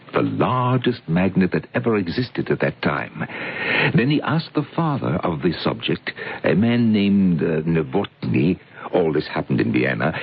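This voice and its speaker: Swooping, low-voiced, ardent professor. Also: male voice, exaggerated voice. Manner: insistent, courtly, lecturing. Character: persuasive male professor, ardent believer in mystical forces. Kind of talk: old-time radio drama acting. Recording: muffled radio recording with noise.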